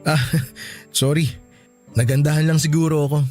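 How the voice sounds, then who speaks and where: A man's voice: on the high side, flat delivery, moderate pace, close to an everyday voice. A man playing a meek, apologetic young husband in a radio drama, hesitant and pleading. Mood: sheepish, sweet. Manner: hesitant, pleading, soft-hearted. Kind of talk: radio drama acting in dialogue. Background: background music.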